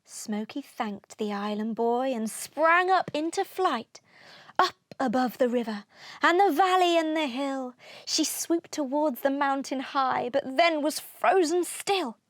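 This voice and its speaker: A woman narrating a children's storybook aloud, expressively, with deliberate rhythmic delivery of rhyming verse. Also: high-pitched, lively intonation, no echo.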